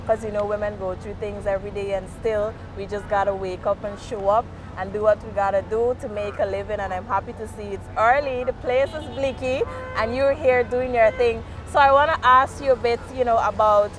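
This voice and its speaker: A woman's voice: medium pitch, steady tone, a little fast, few pauses, poor audio. A woman with a Guyanese accent hosting a street interview, chatty and encouraging with her guest. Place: outdoors by a street junction. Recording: outdoor street noise.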